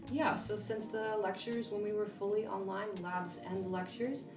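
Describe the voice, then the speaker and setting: A young woman in her twenties, a vet student from California whose first language was Russian, chatting casually in an interview with relaxed fillers and restarts. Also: medium pitch, moderate pace, roomy sound, far from the mic, over music. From California, USA.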